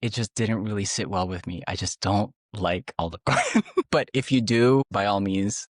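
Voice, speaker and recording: A man's voice: on the low side, lively intonation, moderate pace, no echo, clean studio sound. A man from the Philippines, co-hosting a podcast in a chatty, easygoing way and laughing easily. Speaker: podcast co-host. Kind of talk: casual chat.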